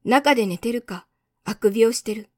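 A woman's voice: a little high, fairly quick, no echo, studio quality, lightly acted. A woman voicing a matter-of-fact railway switchman in a storybook reading, blunt and plain-spoken, a little world-weary. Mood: resigned.

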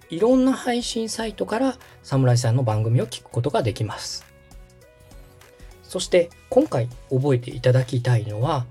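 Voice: medium pitch, warm tone, husky. A podcast host explaining things step by step in a tutorial manner and checking that the listener follows. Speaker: host and radio director giving a tutorial.

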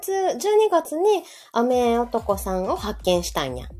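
A woman's voice: on the high side, big pitch swings, takes it slowly, a little mellow. A woman with a Kansai accent, chatting casually as a podcast host. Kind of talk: casual chat. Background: background music.

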